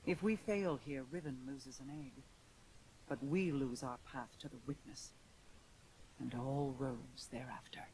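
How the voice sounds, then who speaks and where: An actress playing an imperious, guarded queen in a video game, speaking formally and sternly with measured, regal resolve. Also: very deep voice, dark tone, low-quality recording. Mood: grave, strategic. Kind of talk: video game cutscene acting. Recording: hissy game capture with ambience.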